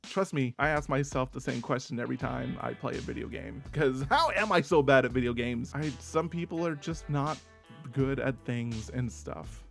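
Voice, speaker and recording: A man's voice: mid-range voice, moderate pace, gravelly, a bit bright, soft background music. A man with a slight lisp hosting a rambling, self-mocking rant about viewer comments, full of dry sarcasm. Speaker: YouTube host.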